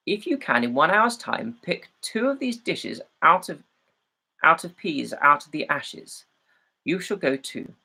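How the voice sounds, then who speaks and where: A man's voice: on the high side, very animated, unhurried, vocal fry, sharp tone, voice pushed up, exaggerated voice. A man voicing a cruel, scornful stepmother in a storybook, scolding and dismissive.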